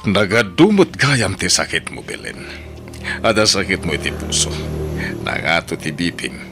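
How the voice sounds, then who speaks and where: A man's voice: sits low, big pitch swings, normal speed, over music. A man playing a callous, scornful lover in an Ilocano radio drama, blunt and dismissive, with an Ilocano accent. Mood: cold, impatient. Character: callous, scornful lover tired of his sick partner.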